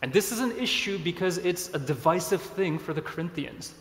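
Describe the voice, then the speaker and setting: A man in his thirties, originally from Korea, preaching a sermon in a relaxed, conversational way with self-deprecating asides. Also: high voice, fairly quick. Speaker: pastor. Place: church sanctuary. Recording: echoey church hall.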